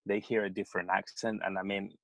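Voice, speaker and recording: A man's voice: on the low side, little pitch movement, fast, dry recording. A man from Spain speaking English with a Spanish accent, chatting as a podcast guest. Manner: chatty with frequent laughter. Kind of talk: casual podcast conversation.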